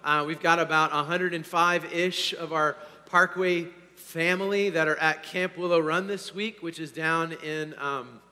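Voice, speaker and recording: A man preaching a sermon to his congregation, hoarse, energetic, swinging between loud bursts and quiet asides and checking in with "all right?". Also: fairly high pitch, a little slow, hardly any pauses. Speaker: pastor. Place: church sanctuary. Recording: long hall reverb.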